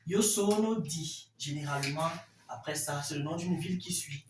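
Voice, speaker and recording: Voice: mid-range voice, moderate pace. A language teacher giving a classroom lesson in a didactic way, carefully repeating example phrases. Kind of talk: classroom Italian lesson explained in French. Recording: roomy echo, distant mic, faint noise.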